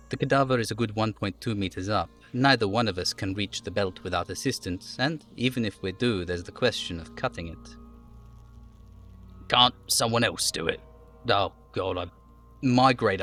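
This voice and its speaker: A man's voice: on the low side, fairly bright tone. A man reading a game aloud as a live streamer, narrating the text and dropping in casual, sweary asides. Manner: sweary, self-commenting.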